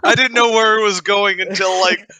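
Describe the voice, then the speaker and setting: A man's voice: high-pitched, steady tone, moderate pace, pushed and bright, low-quality recording. A man chatting casually as he directs and performs in a table read. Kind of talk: casual chat.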